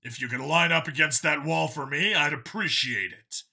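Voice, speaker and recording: Man acting a curt, bright-voiced space cop. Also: a little high, moderate pace, vocal fry, husky, heavily acted. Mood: commanding. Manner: curt, dry, authoritative. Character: human male alien-world cop, calm, commanding, dryly confident. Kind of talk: audiobook character voice.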